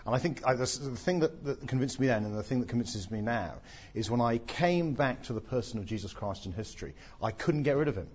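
A man's voice: mid-range voice, animated, brisk, darkish tone, slightly rough, highs cut off. A middle-aged Englishman giving his testimony in an onstage interview, with dry self-deprecating humour and plenty of ums. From England.

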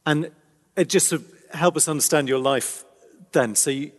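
A man with a slightly raised pitch leads a stage interview. He asks his questions politely and in a measured way. His voice carries a long echo, as if in a hall.

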